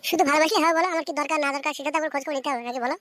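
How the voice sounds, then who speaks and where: A woman acting as a coy, defiant young Bengali village wife from a comic cartoon. Her voice sits extremely high and races along, wheedling one moment and bickering the next. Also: fairly even intonation, rapid-fire, fairly bright tone, dry recording. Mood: complaining, wheedling.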